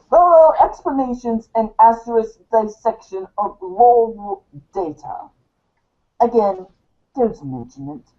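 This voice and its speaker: A woman playing a verbose, dryly self-important guide writer, delivering a formal, arch monologue for a storybook show, halting in places. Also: on the high side, warm tone. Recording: dull, lo-fi recording.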